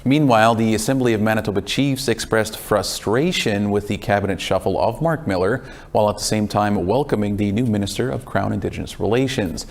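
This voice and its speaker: A man reading scripted news as an anchor in a measured broadcast style. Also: lowish voice, gravelly.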